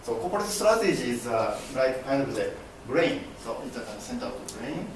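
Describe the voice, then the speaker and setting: A young man with a Japanese accent presents to his class, halting and restarting as he explains. His voice is picked up from across a very echoey classroom, with steady room noise underneath. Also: medium pitch, distant mic. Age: young adult. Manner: halting, many fillers and restarts, gestures broadly. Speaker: MBA student presenter. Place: classroom with projector screen. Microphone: far camera.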